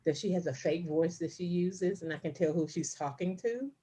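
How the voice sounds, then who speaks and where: A woman's voice: lowish voice, normal speed, crowd noise. A middle-aged woman co-hosting a podcast, telling stories casually with lots of fillers and restarts. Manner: storytelling, many fillers and restarts. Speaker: podcast co-host. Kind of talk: casual chat.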